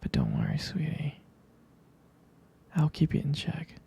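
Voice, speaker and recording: A man playing a jealous, devoted young boyfriend in an intimate ASMR roleplay, speaking in a hesitant, tender, breathy half-whisper. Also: on the high side, huge pitch swings, a little slow, mellow, exaggerated voice. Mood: possessive, pleading.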